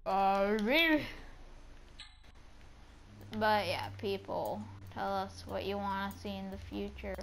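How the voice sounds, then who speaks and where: A woman's voice: mid-range voice, slow pace, vocal fry, pauses often, a little hiss or hum. A woman chatting casually and playfully over gameplay as a channel host, rambling informally.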